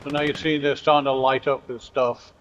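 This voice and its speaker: A middle-aged man from Britain with a blended British and American accent, giving relaxed, wry commentary over a strategy game and joking with his units. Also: mid-range voice, a little fast, slight background noise. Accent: mixed British and American. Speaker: gameplay commentator. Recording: dull lo-fi audio.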